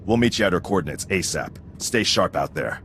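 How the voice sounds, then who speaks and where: An actor playing a stern, unflinching military sergeant in a video game, clipped and formal in delivery. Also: male voice, low voice, sing-song, brisk, a bit bright, slight background noise, heavily acted. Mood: commanding. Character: stern, unflinching military sergeant, coldly reciting casualty statistics.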